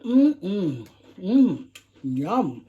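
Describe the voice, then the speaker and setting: A woman's voice: mid-range voice, sing-song, extremely slow, dark tone, muffled recording. A middle-aged woman chatting casually with her daughter while eating on camera, laughing often and talking between bites. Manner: chatty, giggly, talks while chewing. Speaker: mukbang vlogger, mother.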